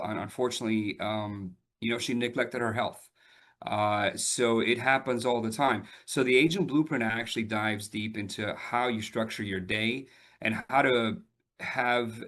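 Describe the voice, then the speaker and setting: A man in his forties, born and raised in Albania, telling his story in a relaxed interview with lots of fillers and restarts. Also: fairly low pitch. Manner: talks with frequent fillers and repeated starts, laughs now and then. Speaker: realtor guest.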